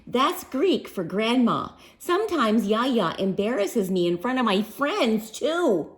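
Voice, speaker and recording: A woman reading a children's story aloud with expressive, engaging narration, as if talking to young listeners. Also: fairly high pitch, slow. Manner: asks listeners questions, animated. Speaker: storybook reader.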